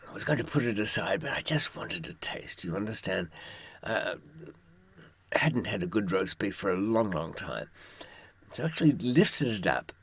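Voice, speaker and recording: An older man with a low voice that swings widely in pitch as he tells his stories. He is a droll, wordy raconteur, handing out advice from experience, recorded close and dry. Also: lively intonation, normal speed, fairly bright tone, husky, no room sound. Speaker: author giving advice from experience. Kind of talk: anecdotal storytelling to listeners.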